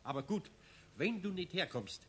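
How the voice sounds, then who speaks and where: An actor playing a grumpy, no-nonsense middle-aged craftsman in a children's radio play, scolding in a husky voice with a Bavarian accent. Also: sits high, big pitch swings, normal speed, slight background noise. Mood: stern threatening. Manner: grumbling, scolding.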